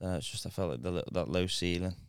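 A man cohosting a podcast, talking casually in quick bursts with plenty of laughter. His voice is smooth and clear. The sound is dry and close. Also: low voice, no room sound. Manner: laughs often, talks in quick bursts with restarts. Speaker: podcast co-host.

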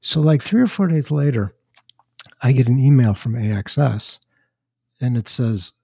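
Older man, raspy, husky, mellow tone, dry sound, rambling storyteller. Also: mid-range voice, animated, a little slow, dry recording. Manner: rambling anecdotes with dry asides. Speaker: podcast co-host. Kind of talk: casual chat, storytelling.

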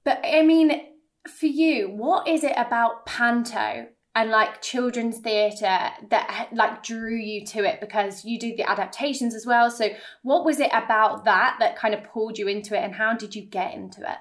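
Upbeat-pitched woman podcast host, music behind. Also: moderate pace. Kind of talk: podcast interview.

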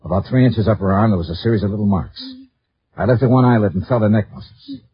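Husky low-voiced hard-boiled radio investigator. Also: male voice, fast, dry recording. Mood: terse narration. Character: tough, self-assured male insurance investigator. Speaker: lead actor and narrator. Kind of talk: 1950s radio detective drama, first-person narration. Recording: muffled vintage 1950s radio recording.

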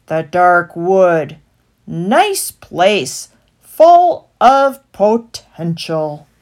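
Lady putting on a sneery rat market guy, drawly and loud, takes his sweet time. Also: fairly low pitch, big pitch swings, drawn-out words, heavily acted. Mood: sly sales pitch. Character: sly, sneering male rat market trader.

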